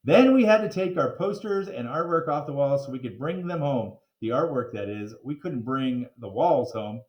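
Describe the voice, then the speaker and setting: A man reading a children's story aloud in a playful, expressive way with theatrical emphasis. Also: medium pitch, moderate pace, lo-fi sound. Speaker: reader of children's book. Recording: roomy, echoing room.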